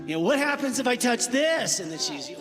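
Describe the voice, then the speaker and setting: A man playing a harried, chatty time traveler in a radio comedy, excitable and often correcting himself. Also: extremely high pitch, fairly quick. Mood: chatty, sly. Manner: hesitant, self-correcting, excitable. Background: music.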